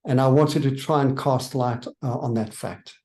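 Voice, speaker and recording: A man's voice: medium pitch, fairly even intonation, moderate pace, darkish tone. A South African man, a church worker and author, answering interview questions in a thoughtful, earnest way and stressing his key points.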